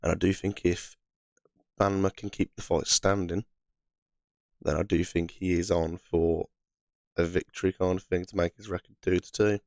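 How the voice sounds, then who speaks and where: A croaky man who is losing his voice talks through fight picks in a relaxed, chatty way, dropping "right" as a filler. His voice sits very low, and the sound is close and dry with no room around it. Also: moderate pace, long pauses, dry recording. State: hoarse, under the weather. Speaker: MMA channel host. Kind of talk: casual fight predictions monologue.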